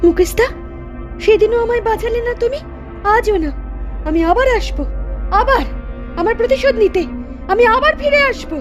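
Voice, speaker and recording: Horror cartoon casting: vengeful girl ghost witch, extremely high voice, taunting menace, music behind. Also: lively intonation, normal speed, long pauses. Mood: bitter, vengeful.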